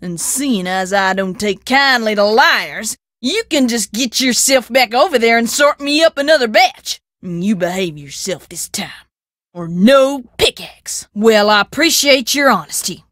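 A woman's voice: on the high side, animated, a little slow, a bit bright, dry recording, clean studio sound. An actress voicing a folksy, sassy woman who keeps a candy shop in a video game, teasing and scolding in a rural American accent. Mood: scolding, suspicious, then friendly, frank. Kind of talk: video game character acting.

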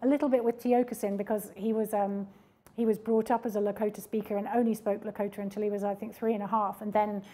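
A woman's voice: medium pitch, little pitch movement, fast, warm tone. A woman presenting at a panel Q&A in an indoor event room, speaking reflectively with frequent fillers and restarts. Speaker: project presenter at a panel talk. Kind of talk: public Q&A discussion. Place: mid-sized indoor event room with audience.

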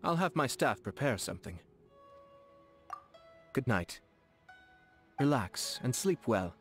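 An actor playing a courteous, composed young nobleman in a video game, speaking formally and softly with a gently teasing manner. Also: medium pitch, very animated. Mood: gentle, playful. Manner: formal, measured, politely playful. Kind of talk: video game character dialogue. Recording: studio voice over game music. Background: game background music.

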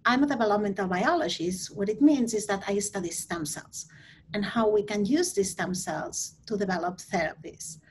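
A woman's voice: mid-range voice, lively intonation, normal speed, slight background noise. A woman from Spain with a Spanish accent, a scientist introducing herself informally on a video call. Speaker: research scientist, guest speaker.

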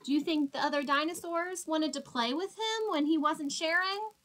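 A woman's voice: high-pitched, moderate pace, a bit bright, slight background noise. A woman in her twenties, a teacher reading a storybook and talking simply to small children. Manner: simple explanatory phrasing with questions to children. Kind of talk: storybook read-aloud and talk to small children. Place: small plain room indoors.

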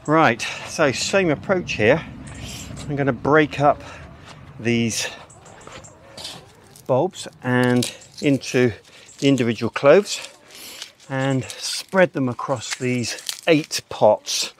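A man's voice: mid-range voice, takes it slowly, pauses often, a little hiss or hum. An older man talking casually as he works on his allotment, explaining each step as he goes. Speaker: allotment gardener. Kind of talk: casual gardening vlog. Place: outdoor garden allotment. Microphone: camera mic on a tripod at a distance.

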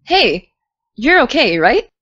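A woman's voice: on the high side, big pitch swings, slow pace, fairly bright tone, husky, dry recording, muffled recording, full character voice. A woman playing a cautious, caring teenage podcaster in an audio drama, conversational and a little fretful. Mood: worried. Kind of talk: naturalistic audio drama dialogue.

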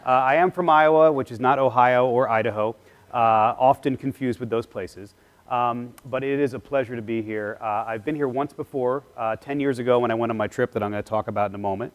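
A man in his forties talks through a keynote on a lapel mic in a large lecture hall. He is casual and self-deprecating, with plenty of "uh" fillers between thoughts. His voice sits at an ordinary middle pitch for a man. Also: fairly quick, dull audio. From Philadelphia area, US East Coast. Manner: gestures with a clicker while pacing the stage. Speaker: keynote speaker. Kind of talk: conference keynote talk.

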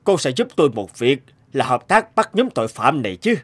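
An actor playing a kindly older policeman in a cartoon, speaking in an earnest, measured and principled way. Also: medium pitch, very animated, fairly bright tone. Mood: earnest, firm. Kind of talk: animated cartoon dubbing.

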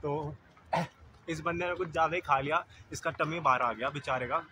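A man's voice: on the high side, normal speed, noisy background. A man in his twenties chatting casually to viewers from a phone held at arm's length, joking with a friend. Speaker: vlogger. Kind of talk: casual vlog chat. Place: concert hall, outdoors, then inside a car.

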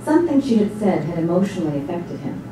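A woman playing the storyteller in a staged dramatic reading, narrating in a measured, literary way. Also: lowish voice, very animated. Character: observant storyteller narrating a bar scene. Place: small stage before a seated audience. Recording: very echoey hall, distant mic, faint noise.

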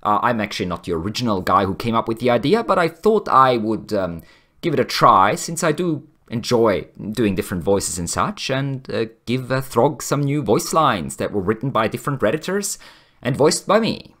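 A man's voice: medium pitch, sing-song, normal speed, fairly bright tone. A man presenting his amateur voice acting in an informal, hesitant, self-effacing way. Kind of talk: informal video introduction and sign-off.

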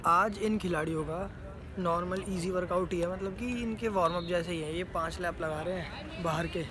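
A man's voice: high-pitched, fairly even intonation, moderate pace. A young man vlogging at an outdoor stadium track with his phone, chatting casually and upbeat to his viewers. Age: young adult. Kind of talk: casual vlog commentary. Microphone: phone camera at arm's length. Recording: outdoor phone audio with crowd noise.

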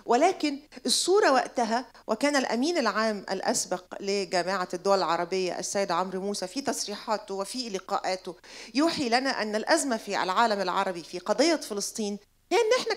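A woman's voice: on the high side, animated, quick pace. A woman in her forties with an Egyptian accent asks a long, insistent, rhetorical question from the audience of a lecture hall. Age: 40s. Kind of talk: impassioned public question.

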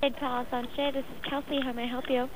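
A woman's voice: high-pitched, level tone, quick pace. A woman answering the phone at a restaurant, patient and polite while puzzled by the caller. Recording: quiet phone line with clear hiss.

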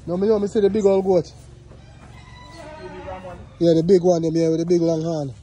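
A man's voice: on the high side, fairly even intonation, moderate pace, warm tone, faint music behind. A man with a Jamaican accent walking through a farmyard, filming on his phone and calling out playfully to the goats and birds. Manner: playful, calls out to the animals. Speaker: vlogger touring a farm. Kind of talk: casual walking commentary. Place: outdoor farmyard with animal pens. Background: farm animals and birds.

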